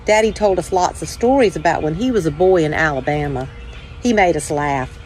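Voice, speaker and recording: A woman hosting a children's story time, reading aloud with measured, deliberate phrasing. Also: low-pitched, a little mellow, faint music behind, low-quality recording. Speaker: church children's ministry director, story time host.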